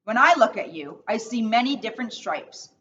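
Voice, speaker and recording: A woman voicing a gentle zebra mother in a storybook, encouraging and patient, recorded at a distance. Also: on the high side, lively intonation, normal speed. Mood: reassuring. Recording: distant mic, dull sound, faint noise.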